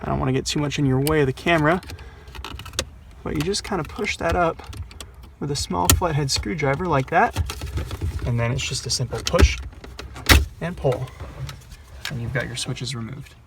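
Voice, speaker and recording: A man's voice: a little high, normal speed, gravelly, long pauses, heavy background noise. A man presenting a casual, hands-on installation tutorial, explaining each step in a plain-spoken way. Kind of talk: casual hands-on how-to instruction. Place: in or at a car, working on the dashboard.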